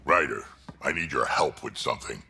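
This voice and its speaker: Very deep, gravelly old alien warrior. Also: male voice, moderate pace, bright, forceful tone, slight background noise, heavily acted. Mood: grave, urgent. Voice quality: very deep, gravelly, raspy growl. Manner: gruff, blunt. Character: gruff old male krogan alien warrior.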